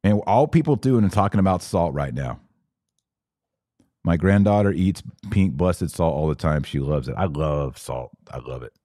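A man's voice: sits low, animated, moderate pace, warm tone, dry recording, studio quality. An American man hosting a podcast, talking to listeners in an emphatic, didactic way that leans into preaching, repeating phrases for emphasis. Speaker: podcast host. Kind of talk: live chat with listeners turning into preaching.

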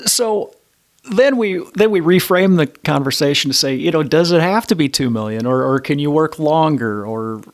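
A man who is a financial advisor, a guest on a podcast, speaking bluntly and plainly with frequent restarts and slowing down to stress key points. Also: a little high, animated. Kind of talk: conversational interview answers.

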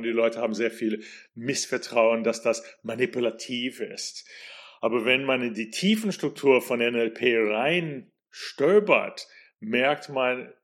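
A middle-aged man from New York with an American accent hosts his own podcast. He speaks very slowly and reflectively, pausing often and filling gaps with fillers. His voice sits at an ordinary middle pitch for a man. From New York, USA. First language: English. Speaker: podcast host. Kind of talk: solo podcast monologue.

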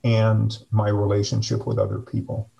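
A middle-aged man speaking slowly and reflectively as a guest on a video call, with frequent fillers. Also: on the low side, completely flat delivery, gravelly, dark tone, lo-fi sound. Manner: slow, measured, hesitant with many fillers. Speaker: interview guest, district superintendent. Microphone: video call webcam.

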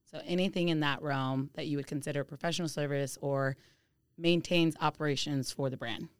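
A woman's voice: low voice, fairly even intonation, brisk, clean tone, no echo, clean studio sound. A young adult American woman chatting casually as a guest on a podcast. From Phoenix, Arizona, United States. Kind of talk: casual chat, conversational interview.

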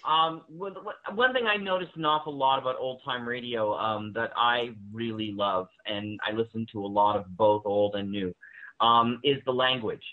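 A man talks as a guest on a panel, explaining things conversationally and leaning on key words. His mid-pitched voice comes through a tinny, narrow phone-like connection. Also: moderate pace. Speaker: panel guest on audio drama. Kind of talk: conversational commentary.

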